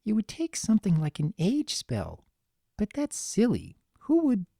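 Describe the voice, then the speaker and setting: A woman voicing a gentle, anxious young female cartoon pony, earnest, soft and fretful. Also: mid-range voice, wildly swooping pitch, unhurried, gravelly, breathy edge, dry recording, voice pushed up, full character voice. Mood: musing, uneasy.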